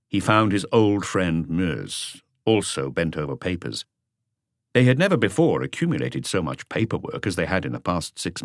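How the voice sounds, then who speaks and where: A man reading a detective story as an audiobook narrator, in a measured, unhurried way. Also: low-pitched, dry recording, studio quality.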